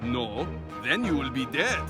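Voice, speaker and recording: A man's voice: medium pitch, big pitch swings, measured pace, loud music behind the voice. A man voicing a stern, proud older brother bear in a children's story, with a proper, admonishing manner. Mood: scolding. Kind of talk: storybook character voice.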